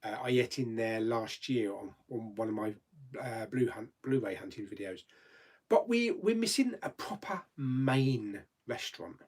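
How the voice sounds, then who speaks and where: A man's voice: mid-range voice, a little mellow. A man hosting a casual live chat, rambling and reading out viewer comments, laughing easily and swearing mildly.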